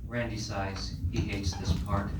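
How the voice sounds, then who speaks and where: A man's voice: fairly low pitch, flat delivery, unhurried, a little mellow. A man reading stage directions as scripted narration at a live screenplay table read. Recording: echoey room, distant mic, clear background noise.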